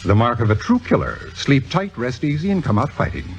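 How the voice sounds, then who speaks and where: A man's voice: medium pitch, huge pitch swings, a little fast. A man playing a suave, cynical, domineering theater critic in a 1950s radio drama, speaking precisely with dry theatrical sarcasm. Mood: sardonic. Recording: dull vintage radio recording with music.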